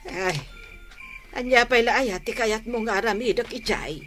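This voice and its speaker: A woman's voice: on the high side, measured pace, a bit bright, soft background music. A woman playing a sour, disapproving middle-aged mother-in-law in a radio drama, grumbling and exclaiming with an Ilocano Filipino accent. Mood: irritated. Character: sour, disapproving mother-in-law gossiping about her son's bride. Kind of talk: Philippine radio drama acting.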